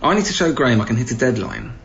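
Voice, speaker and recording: An actor playing a patient, conscientious young council officer, gentle and hesitant, in a naturalistic radio drama. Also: male voice, mid-range voice, expressive, fast talker, gravelly, dull audio. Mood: earnest, conflicted.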